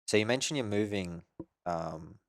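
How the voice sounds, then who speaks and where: A man hosting a podcast, asking easygoing questions and signing off in a relaxed, friendly way. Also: on the low side, normal speed, dry recording, clean studio sound. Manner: easygoing, friendly prompts, filler-heavy. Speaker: podcast host. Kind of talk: casual interview chat.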